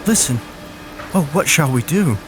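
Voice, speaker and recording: An anxious young girl pleads in a horror story, acted out by a male narrator who lifts his pitch. The delivery is dramatic and full of fear, with clear music underneath. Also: lively intonation, measured pace. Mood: panicked pleading. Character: frightened young girl pleading in a haunted house.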